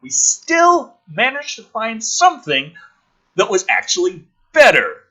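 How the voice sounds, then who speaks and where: A male host with a bright, forceful voice that swings widely in pitch. He has a rough, husky edge and delivers sarcastic, profane commentary, shifting between off-the-cuff ranting and theatrical script reading. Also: wildly swooping pitch, unhurried, lo-fi sound. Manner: sweary, sarcastic, informal.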